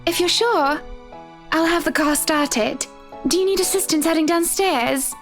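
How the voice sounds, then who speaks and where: A gracious married lady from a visual novel, speaks in an extremely high voice. She is solicitous and effusive, fussing gently over whoever she talks to. Music plays softly underneath. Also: a little slow. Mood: concerned. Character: gracious, doting married woman client, Missus Wright.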